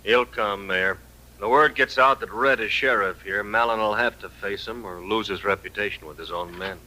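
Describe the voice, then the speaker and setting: Low, forceful western lawman. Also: normal speed, poor audio. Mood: grimly practical. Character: plain-spoken, steady US deputy marshal in old West. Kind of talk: 1950s radio drama acting.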